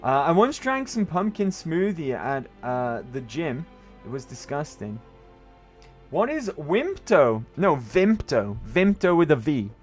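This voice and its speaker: A man's voice: fairly high pitch, big pitch swings, a little slow, faint music behind. A man from Wales with a Welsh accent, chatting casually to his viewers while streaming a game. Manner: rambling, chatty, answers chat. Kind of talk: casual gaming stream chat.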